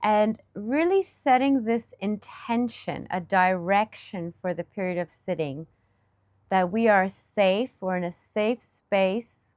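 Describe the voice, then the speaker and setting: Slow, soothing woman guiding meditation. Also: medium pitch, dry recording. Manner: soothing, gentle, with long pauses between short phrases. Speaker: meditation teacher. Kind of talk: guided meditation, soft unscripted instruction.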